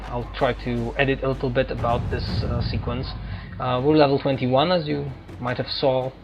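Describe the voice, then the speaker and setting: A man who is a non-native English speaker giving casual, halting, digressive commentary as a gaming let's player. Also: mid-range voice. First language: not English. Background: faint game music.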